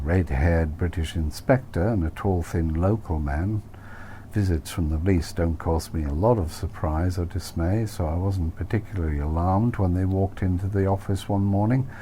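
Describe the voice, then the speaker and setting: A man's voice: sits low, warm tone, slight background noise. A man reading his own first-person novel aloud as an audiobook, in a wry, dry manner.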